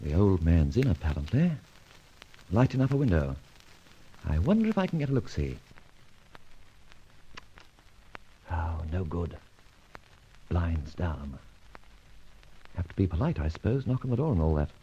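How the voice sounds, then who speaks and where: An actor playing a young British masked thief in an old-time radio detective drama, mock-polite, wry and commanding. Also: male voice, low voice, sing-song, normal speed, long pauses, dark tone, slight background noise. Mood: wry, wary. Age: young adult. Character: suave masked young vigilante thief, bold, mocking, menacing. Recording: dull vintage radio recording.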